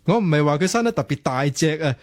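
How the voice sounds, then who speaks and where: Actor as an earnest young Hong Kong romantic. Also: male voice, fairly high pitch, animated, fast talker, natural voice. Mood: confident, defensive. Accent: Hong Kong Cantonese. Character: earnest, naive, romantic young man defending an online crush. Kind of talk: radio drama acting.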